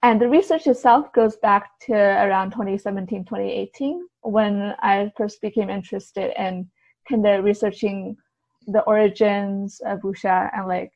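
A woman's voice: mid-range voice, moderate pace, creaky, darkish tone. A woman answering conversationally as an interview guest, explaining things in a tentative, hedging way. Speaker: podcast guest, writer and translator. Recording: slightly dull, lo-fi sound.

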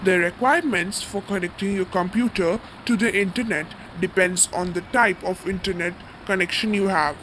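Guy with a high voice reading a school textbook out loud, slight background noise. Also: moderate pace, few pauses. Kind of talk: read-aloud lesson.

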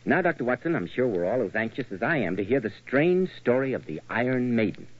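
Lively vintage American radio announcer. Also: male voice, mid-range voice, fairly quick, no echo. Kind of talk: 1940s radio host and sponsor ad reading. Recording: dull, lo-fi old radio recording.

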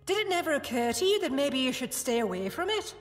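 A woman's voice: sits high, big pitch swings, fast. A woman playing a stern female prison security guard who scolds and explains, with music behind. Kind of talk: fan audio drama acting. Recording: long reverb with music behind.

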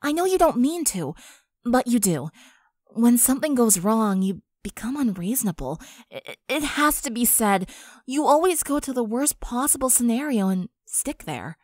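Woman playing a frank unicorn friend, lively intonation. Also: on the high side, moderate pace, no echo, studio quality. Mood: firm, concerned. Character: firm, caring young female unicorn friend.

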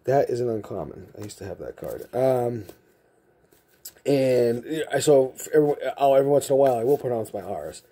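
Off-camera male host, husky low-toned voice, softened Massachusetts accent, rambling and jokey. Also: expressive, normal speed. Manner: rambling, chatty, jokey asides. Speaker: card collecting channel host. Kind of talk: casual chat while sorting cards. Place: indoor room with card shelves.

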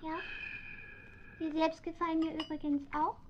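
A woman voicing a polite, cheeky and self-assured male talking cat in a radio play, speaking in a genteel, coaxing way with soft whispered asides. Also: very high-pitched, level tone, normal speed. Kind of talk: vintage radio play acting. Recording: dull older lo-fi recording.

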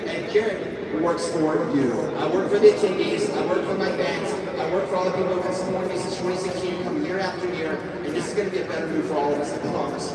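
A young man hosting a stadium event over a PA, slightly hoarse from yelling, giving rambling instructions with lots of fillers. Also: fairly high pitch, fairly even intonation, fast, hardly any pauses. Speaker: event host. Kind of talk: announcing to a crowd over a PA. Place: indoor football stadium, on a stage. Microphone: handheld mic over PA, recorded from far off. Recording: echoey stadium PA from far off. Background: loud crowd and stadium noise.

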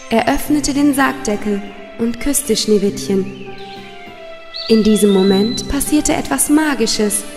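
A woman tells a scripted fairy tale for children. Her voice sits a little high, in a long reverb over clear background music. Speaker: storyteller.

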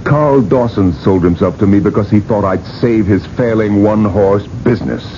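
An actor playing a domineering older industrialist obsessed with living forever, imperious and emphatic, in a radio drama. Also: male voice, fairly low pitch, normal speed, mellow, lightly acted. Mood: bitter, domineering. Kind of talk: 1980s radio drama acting and first-person narration. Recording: muffled, noisy vintage radio broadcast.

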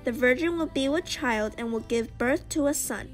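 A woman's voice: sits high, moderate pace, soft background music. A woman reading scripted narration for a children's Bible puppet show.

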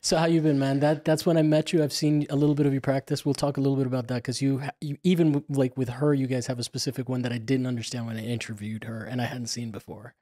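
A man's voice: mid-range voice, flat delivery, quick pace, hardly any pauses, warm tone. A man hosting a podcast interview in an easygoing, jokey way, laughing often. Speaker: podcast host. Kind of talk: casual interview chat.

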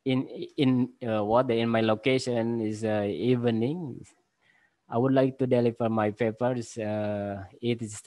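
Halting Indonesian man lecturing slowly. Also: fairly low pitch, a little mellow, no echo. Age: 40s. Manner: halting, many fillers and restarts. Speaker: university lecturer presenting a paper. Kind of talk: conference presentation. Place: home office with bookshelves. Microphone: laptop webcam on video call.

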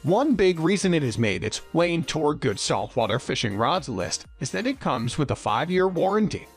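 A man narrates a scripted product review in a fairly high voice. His intonation is very lively, rising and falling widely from phrase to phrase. Faint music plays behind him. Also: normal speed.

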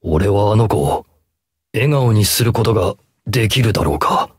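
A man's voice: on the low side, lively intonation, moderate pace, breathy edge, no room sound, clean studio sound. An actor playing a grave, brooding yet tender scarred male hero in a mobile game. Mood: pensive. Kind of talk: Japanese mobile game character acting.

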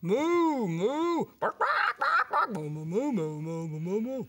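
A man mooing as a high wandering cow. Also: sing-song, slow pace, a little mellow, heavily acted. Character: cheerful wandering female dairy cow.

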